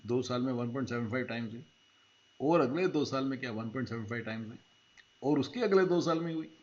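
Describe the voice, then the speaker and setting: A man's voice: medium pitch, animated, fast talker, dull audio. Mocking man reacting to a clip. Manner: mocking, puts on accents and laughs. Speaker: reaction video host. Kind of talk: sarcastic commentary on a clip.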